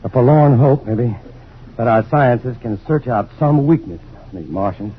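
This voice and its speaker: An actor playing an earnest, determined male scientist in a vintage science fiction radio drama, talking briskly and resolutely. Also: medium pitch, husky, natural voice. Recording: muffled, noisy old radio recording.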